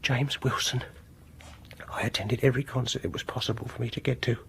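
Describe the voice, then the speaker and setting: An actor playing a coldly vengeful, obsessive music lover in a radio horror drama, pedantic and condescending. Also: male voice, medium pitch, steady tone, fairly quick, creaky, fairly bright tone, a little hiss or hum. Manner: pedantic, mock-reasonable, condescending.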